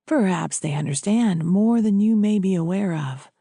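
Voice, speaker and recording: A woman voicing a kindly, composed male priest in an audiobook, speaking gently, formally and deliberately. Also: medium pitch, expressive, husky, no room sound, studio quality. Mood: knowing, soft.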